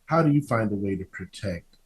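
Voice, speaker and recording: A man hosting a casual interview podcast, speaking in an affirming, halting way and repeating himself as he goes. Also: on the low side, animated.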